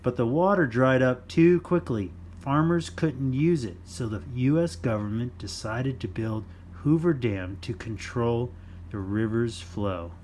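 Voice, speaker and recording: A man with a mid-range voice reads a children's fact book aloud. He takes it slowly, in simple, deliberate phrases, with some background noise. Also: mid-range voice, expressive, a little mellow. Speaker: children's storytime reader.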